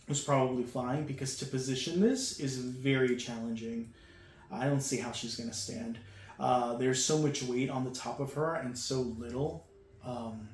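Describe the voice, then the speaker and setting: Gushing man unboxing toys, echoey room. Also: medium pitch. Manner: enthusiastic, gushing, with frequent fillers. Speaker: toy reviewer. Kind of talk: casual unboxing commentary. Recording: echoey room with faint hum.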